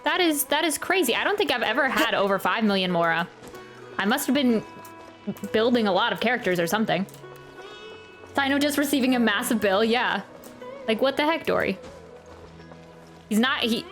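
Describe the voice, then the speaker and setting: A woman's voice: on the high side, expressive, fairly quick, long pauses, fairly bright tone, faint music behind. A woman giving casual, chatty reaction commentary as a game streamer, with rambling, jokey asides.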